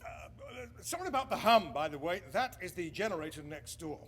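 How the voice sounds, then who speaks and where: A man playing a courteous, cautious 1920s radio engineer in a period radio drama, speaking in a measured, explanatory way. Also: high-pitched, sing-song, a bit bright, heavily acted. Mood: courteous, welcoming.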